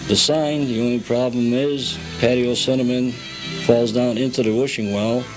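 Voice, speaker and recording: A man's voice: mid-range voice, little pitch movement, moderate pace, music in the background, poor audio. A man recorded at home as an interview guest, telling a story step by step in an informal guided way. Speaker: guest, hobbyist showing the village they built. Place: at home, indoors.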